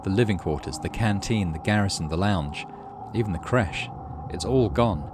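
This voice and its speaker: A man's voice: sits low, normal speed, noisy background. A middle-aged British man hosting a gaming video, reading story text aloud and adding casual commentary.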